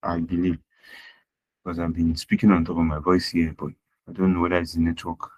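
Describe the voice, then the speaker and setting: A man's voice: deep voice, level tone, brisk, a little mellow, husky. A man teaching accounting in an online class, repeating his points and asking the class rhetorical questions. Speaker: accounting lecturer. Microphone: online class audio.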